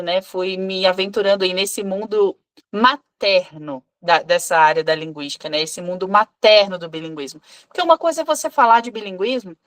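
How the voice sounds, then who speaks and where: Woman in her thirties, from brazil, teacher, casual live call, chatty, restarts often, mid-pitched voice. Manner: chatty, many fillers and restarts. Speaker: English teacher and club co-host. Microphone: video call.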